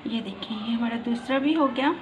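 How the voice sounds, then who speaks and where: A woman's voice: fairly high pitch, moderate pace, slight background noise. A woman hosting a cooking channel, patiently explaining each step of a recipe as she works in her home kitchen on a handheld phone.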